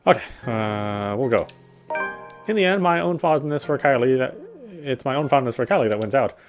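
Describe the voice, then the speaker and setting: A man's voice: medium pitch, lively intonation, moderate pace, faint music behind. A man reading visual novel narration aloud, sometimes stumbling and rereading phrases.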